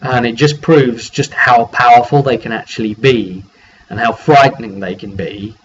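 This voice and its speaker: A man's voice: mid-range voice, normal speed. Man rambling through a game recap, lo-fi recording. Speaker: tabletop game master recapping sessions. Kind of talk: informal spoken recap of a game session. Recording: dull lo-fi mic with faint background noise.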